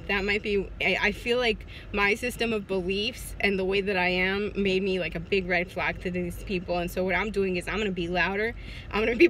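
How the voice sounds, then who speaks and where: A woman's voice: medium pitch, pushed and bright, slight background noise. A woman in her forties talking to her phone camera from a parked car, casual and hesitant with many fillers and restarts. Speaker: vlogger. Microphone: phone at arm's length.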